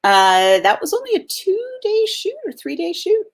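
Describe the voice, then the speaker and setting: A woman's voice: very high-pitched, wildly swooping pitch, normal speed. A woman actress being interviewed on a video call, chatting casually, upbeat and giggly, rambling through her stories. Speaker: actress, guest.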